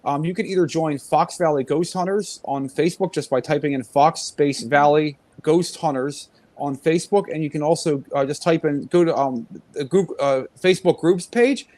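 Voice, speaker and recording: A man's voice: on the high side, normal speed, few pauses, darkish tone, no room sound. A man who is a guest paranormal investigator, chatting casually and rambling with lots of fillers. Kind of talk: casual chat.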